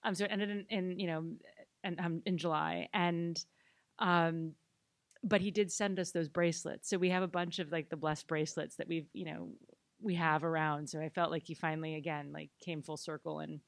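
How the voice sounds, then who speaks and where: Woman, low voice, dry close mic, film director fielding Q&A, rambling with many fillers and restarts. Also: fairly even intonation, gravelly, dry recording. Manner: halting, full of fillers and restarts. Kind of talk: Q&A panel answers. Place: cinema auditorium.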